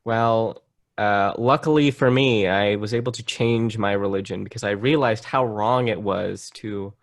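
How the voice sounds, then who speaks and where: A man's voice: fairly low pitch, measured pace, no room sound. A young man co-hosting a casual podcast, trading dry, playful, mock-serious banter. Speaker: podcast co-host. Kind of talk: casual chat.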